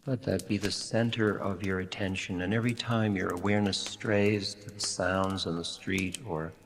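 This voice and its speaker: A man leading a guided meditation in a gentle, unhurried, soothing manner with long pauses. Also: low voice, steady tone, creaky. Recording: long reverb, audible background noise and clicks.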